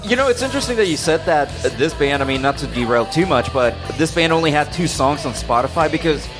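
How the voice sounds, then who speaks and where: Lively, higher-voiced man bantering about music. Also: fast. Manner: questioning, opinionated, casual swearing. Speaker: podcast co-host. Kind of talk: casual, bantering music chat.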